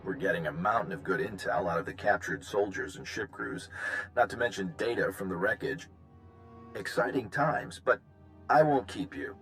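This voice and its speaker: An actor playing a breezy, upbeat intelligence officer in a video game, casual and wry. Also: male voice, low-pitched, lively intonation, normal speed, a bit bright, faint music behind, low-quality recording. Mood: pleased, wry. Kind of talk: video game dialogue acting.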